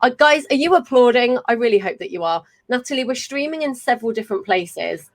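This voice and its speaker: A woman's voice: fairly high pitch, lively intonation, a little fast. A woman in her thirties hosting a live-streamed podcast from home over a video call, chatty and self-mocking, swearing freely. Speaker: podcast host. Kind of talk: casual live-stream interview. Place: home room with a fan humming. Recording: fan hum in the background.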